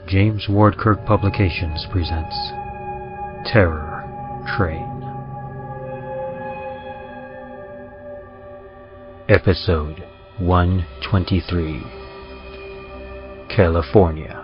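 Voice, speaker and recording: A man's voice: deep voice, fairly even intonation, lo-fi sound, music in the background. A man playing a sinister horror train conductor, chanting warnings theatrically in an old-time radio horror style. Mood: grave announcing. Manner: theatrical, ominous, measured chanting.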